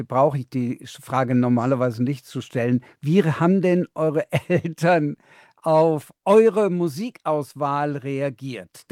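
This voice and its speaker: A man's voice: on the high side, a little mellow, no room sound, studio quality. A man interviewing a metal band, asking questions in a friendly, conversational way.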